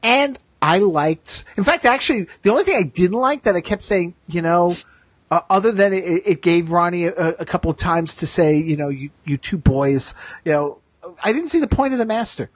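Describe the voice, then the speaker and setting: A man hosting a podcast in casual chat, enthusiastic, halting and circling back over his points. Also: sits high, lively intonation, dry recording. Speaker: podcast host.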